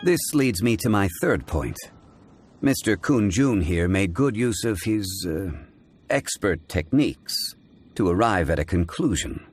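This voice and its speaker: A man playing a calm, learned investigator in a video game, speaking in a measured, formal way. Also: low voice, breathy edge, exaggerated voice. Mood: composed, analytical. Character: calm, learned, dignified male consultant investigator. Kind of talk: video game character acting.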